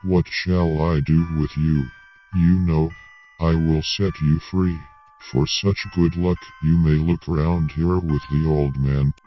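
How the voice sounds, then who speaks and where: A man doing a cold monotonous alien governor. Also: very deep voice, slow pace, soft background music, voice pushed down. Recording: dull lo-fi with hiss.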